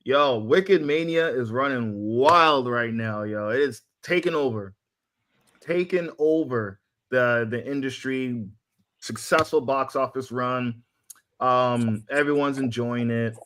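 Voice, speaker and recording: A man's voice: medium pitch, takes it slowly, a bit bright, a little hiss or hum. A man hosting a podcast in a casual group chat, talking in slangy, hype-man phrases. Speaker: podcast host.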